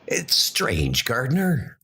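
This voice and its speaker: A man playing an earnest schoolteacher-historian in a comic adventure, deliberate and probing. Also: mid-range voice, wildly swooping pitch, vocal fry, bright, forceful tone, husky, full character voice. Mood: earnest, puzzled. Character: earnest, bookish male schoolteacher turned wartime historian.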